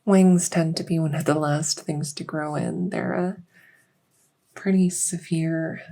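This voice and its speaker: A woman playing a gentle fae doctor in a soft-spoken ASMR medical roleplay, reassuring and unhurried, sometimes slipping toward a whisper. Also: sits low, steady tone, creaky, exaggerated voice. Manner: unhurried, reassuring, hesitant pauses. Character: gentle female fae doctor with buggy wings and scaly tail. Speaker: audio roleplay performer.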